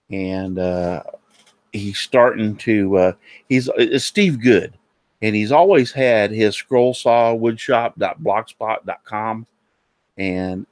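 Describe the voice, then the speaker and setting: Hoarse, nasal man hosting a live show. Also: fairly low pitch, no room sound. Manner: rambling, many fillers and restarts. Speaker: host of a woodworking live show. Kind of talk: casual live-stream chat.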